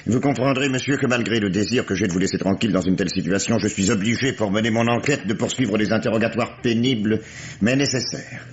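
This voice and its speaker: A man acting a patient, probing police commissioner in an old radio mystery, speaking formally and with measured politeness. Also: lowish voice, close to an everyday voice. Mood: courteous. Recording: dull, noisy old radio drama recording.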